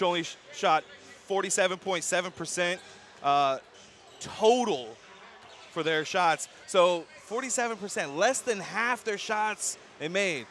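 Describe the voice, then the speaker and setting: A man's voice: high voice, a bit bright. An American man hosting live pre-game basketball commentary, with gym noise behind him. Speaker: sports broadcast host and commentator. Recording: noisy gym ambience behind the voice.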